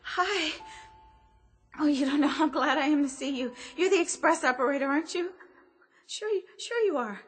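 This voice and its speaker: A woman's voice: sits high, moderate pace, close to an everyday voice. An actress playing a frightened young woman trapped alone, stammering breathlessly and pleading in a radio drama. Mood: anxious relief. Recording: radio drama with clear music underneath. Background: dramatic music.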